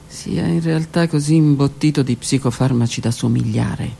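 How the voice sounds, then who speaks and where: A woman reading her own literary text aloud on the radio, in a slow, intimate voice that often drops to a whisper. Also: a bass voice, gravelly, slight background noise. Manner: slow, intimate, hushed delivery with whispered words. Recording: radio broadcast with faint music.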